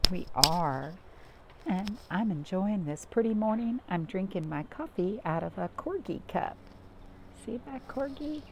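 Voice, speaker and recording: Woman reading expressively to children, lively pitch. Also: noisy background. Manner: addresses young children with simple questions. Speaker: library storytime host and reader. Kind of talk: children's storytime, chatting and reading aloud. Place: at home, in a cottage.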